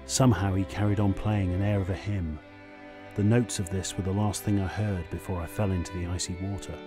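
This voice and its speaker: An actor playing a sombre sailor who survived a shipwreck, giving plain, reflective testimony in a radio drama. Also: male voice, low-pitched, steady tone, brisk, close to an everyday voice. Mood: sombre, remembering. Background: guitar music.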